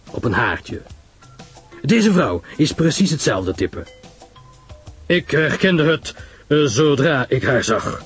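A man voicing a grave, self-assured older detective who explains his reasoning in a measured, emphatic way, in a radio story. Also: medium pitch.

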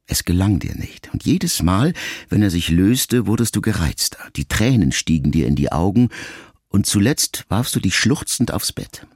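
A man reading a literary text aloud as an audiobook, in a measured, reflective way with long pauses. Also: low voice, gravelly, husky, dry recording, studio quality. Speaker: audiobook reader. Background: soft music.

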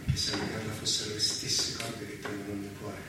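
An actor playing a jealous, tormented foreign general who broods bitterly in a radio drama. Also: male voice, fairly low pitch, completely flat delivery, brisk, close to an everyday voice. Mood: bitter, brooding. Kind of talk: Italian stage-style radio drama. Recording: roomy, noisy, distant-miked radio broadcast.

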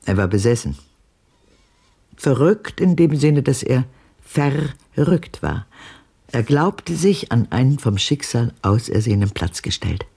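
An older actress playing a bitter, haunted old woman in a radio drama, speaking deliberately and pointedly in a low, breathy voice that drops into whispers. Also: mellow, heavily acted.

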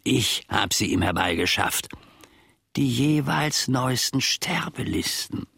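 An actor playing a self-important, grumbling old manservant and former soldier in a German radio play, musing theatrically in a husky, gravelly voice. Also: male voice, mid-range voice, animated, slow pace, pushed and bright, no echo, clean studio sound, exaggerated voice. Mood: brooding. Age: older.

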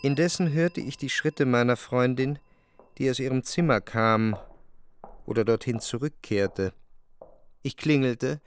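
An actor playing an introspective, analytical narrator in a literary radio drama, with a measured, reflective delivery. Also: male voice, mid-range voice, a little hiss or hum, lightly acted. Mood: reflective, detached. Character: introspective, analytical male narrator looking back on love.

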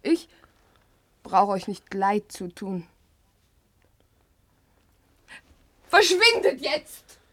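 A woman's voice: mid-range voice, very animated, slow pace, fairly bright tone, no room sound, full character voice. A woman playing a proud, sardonic singer in a German radio play, with a theatrical, scornful manner. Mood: curt, bitter.

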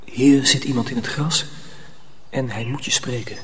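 A man acting in a radio drama as an earnest, troubled young mill apprentice, urgent and often hushed. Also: mid-range voice, steady tone, moderate pace, crowd noise, lightly acted. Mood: hushed, pleading.

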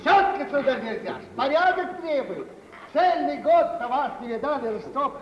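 An actor playing a fawning, eager servant with an obsequious, folksy manner in an old radio play. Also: male voice, very high voice, lively intonation, normal speed, some chatter behind. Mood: eager, servile. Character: fawning, eager servant greeting his master. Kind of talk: mid-century stage acting for radio. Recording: distant, echoey, muffled noisy old radio recording.

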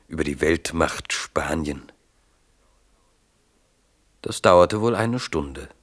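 A man narrates a literary radio drama. His voice is very deep and he takes his time, speaking very slowly. The delivery is measured and dramatic.

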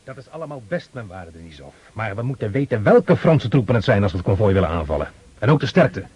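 A man's voice: lowish voice, very animated, fast talker, darkish tone, husky, no room sound. A man acting a precise, commanding guards officer in a radio drama, cool and exacting. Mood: brisk, commanding. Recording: dull, dry old radio recording.

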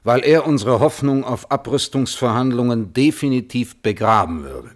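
A man acting as a thoughtful, scrupulous scientist in a German radio play, testifying in a measured, carefully worded way. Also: medium pitch, lively intonation, close to an everyday voice. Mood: grave, firm. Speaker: witness under questioning.

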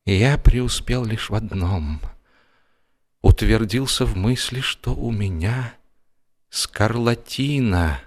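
A man narrating a humorous first-person story in a theatrical, expressive audio-drama style. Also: lowish voice, takes it slowly. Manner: expressive, acting out comic hesitations and dialogue. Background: music.

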